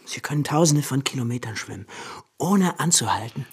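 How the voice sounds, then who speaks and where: A woman playing an eager, affectionate little boy in a radio drama, with a husky voice. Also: extremely low pitch, expressive, a little fast, heavily acted. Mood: eager wonder.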